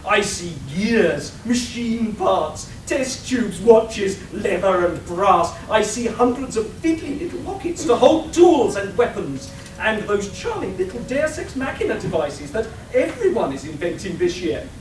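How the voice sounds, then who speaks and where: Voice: medium pitch, sing-song, unhurried, hardly any pauses. A haughty robot couturier acted out by a live performer, caught in a roomy space at a distance. The delivery is pompous, affected and dramatic, sprinkled with French flourishes, for a comic radio play. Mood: disdainful, flamboyant. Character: haughty, theatrical robot tailor with French fashion-designer airs. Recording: roomy distant live recording with background noise.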